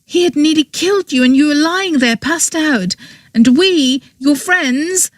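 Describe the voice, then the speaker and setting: A woman's voice: very high-pitched, very animated, takes it slowly, no echo, clean studio sound, voice pushed up, heavily acted. A woman voicing a furious, tearful young woman accused of murder, shrieking and bitter. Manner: shrieking, bitter, near tears.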